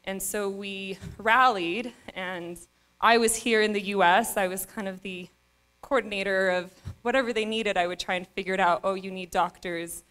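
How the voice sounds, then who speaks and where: A woman's voice: medium pitch, steady tone, normal speed, a bit bright, clear, resonant tone. Young woman giving an earnest award speech. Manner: earnest, with frequent fillers and restarts. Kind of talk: acceptance speech. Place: stage in a dark event venue. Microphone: stand mic.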